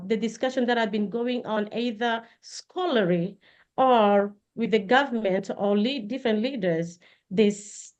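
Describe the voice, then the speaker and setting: A middle-aged woman from Congo speaking as a panelist, earnest and halting, with many pauses and fillers. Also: mid-range voice, muffled recording. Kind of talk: panel discussion on a call.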